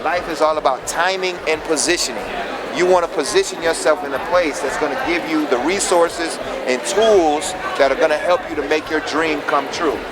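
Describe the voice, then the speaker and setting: A man's voice: on the high side, normal speed. A man recruiting for a college, speaking to a student in an encouraging, motivational way. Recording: far from mic with crowd noise.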